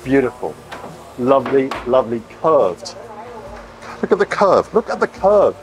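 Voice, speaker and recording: A man's voice: medium pitch, very animated, a little slow, a little hiss or hum, poor audio. A man in his forties giving an enthusiastic, emphatic walk-through tour to camera as a vlog host, repeating phrases and wearing a lapel mic. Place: inside a boat under construction and a large boatyard shed. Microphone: clip-on lapel mic.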